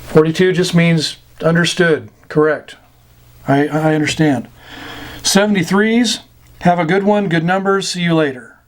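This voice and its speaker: Slow-talking American man explaining terms. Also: on the high side, fairly even intonation. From United States. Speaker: amateur radio instructor. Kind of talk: informal slide lesson explaining radio slang.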